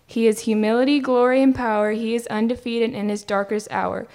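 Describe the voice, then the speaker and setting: A woman performs a devotional spoken-word poem, riding a rhythmic, rhyming cadence. Her voice sits a little high and comes through smooth and resonant. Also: fairly even intonation, brisk, a little mellow.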